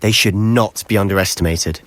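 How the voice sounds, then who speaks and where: An actor playing an earnest, stubborn teenage British schoolboy in a video game, speaking with driven intensity. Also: male voice, fairly low pitch, moderate pace, a bit bright, no echo, close to an everyday voice. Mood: determined. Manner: intense, determined. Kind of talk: dramatic video game dialogue.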